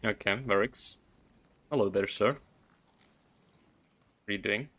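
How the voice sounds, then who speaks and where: A man giving casual live game commentary, reacting haltingly as he talks to himself and his viewers. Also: lowish voice, fairly bright tone. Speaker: game streamer.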